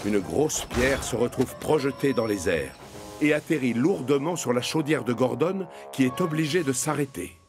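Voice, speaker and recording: A man narrating a children's cartoon from a script, as an expressive storyteller. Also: medium pitch, moderate pace. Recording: music behind the voice.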